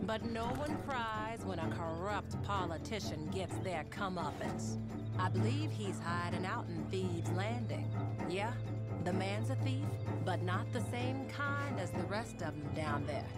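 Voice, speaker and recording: A woman's voice: mid-range voice, expressive, a little slow, close to an everyday voice. Actress as folksy american frontier lady, wry, takes her time, western game briefing, big music behind. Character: folksy, world-weary frontier woman briefing bounty hunters. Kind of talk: scripted western game narration. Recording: loud music under the voice.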